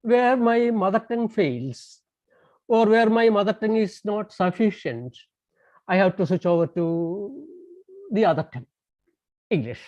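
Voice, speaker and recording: A man's voice: high-pitched, animated, measured pace, long pauses, a little mellow, no echo. A man whose first language is Tamil, giving an online lecture by phone, speaking in a hesitant way with many fillers and restarts. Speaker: guest lecturer. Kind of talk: online lecture over a call. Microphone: phone on a landline call. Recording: dull dry phone-call audio.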